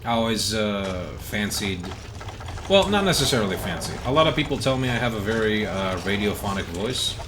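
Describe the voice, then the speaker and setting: A man with a low, bassy voice rambling casually as he streams a video game live. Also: animated, moderate pace, noisy background. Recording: music behind the voice. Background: game music.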